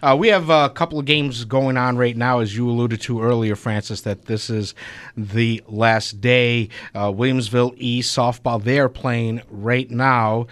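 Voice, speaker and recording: Man hosting local sports radio, upbeat, quick encouragement. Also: mid-range voice, steady tone, a bit bright, clean tone. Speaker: radio show host. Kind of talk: casual radio sports talk.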